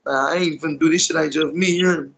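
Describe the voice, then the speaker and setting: A man's voice: a little high, steady tone, fast talker, fairly bright tone. A young American man talking casually on a video call in heavy slang, repeating himself for emphasis and checking he is heard. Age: young adult. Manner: repetitive, emphatic street talk with frequent tag questions. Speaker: rapper being interviewed. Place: small indoor room, on a video call. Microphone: phone at arm's length on video call.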